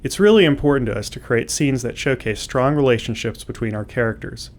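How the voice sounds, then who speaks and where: A man's voice: mid-range voice, brisk. A man presenting scripted commentary as a game developer.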